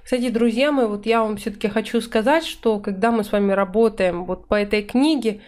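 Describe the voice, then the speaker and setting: A woman in her thirties teaching English vocabulary in an online lesson, explaining in Russian and reading English phrases slowly and clearly. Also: mid-range voice, darkish tone. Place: indoor room, webcam in corner of slides.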